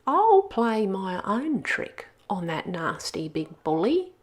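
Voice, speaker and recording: A woman's voice: mid-range voice, sing-song, takes it slowly. A woman voicing a wily old granny in a children's storybook. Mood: crafty. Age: older. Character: wily old granny, surprised yet crafty.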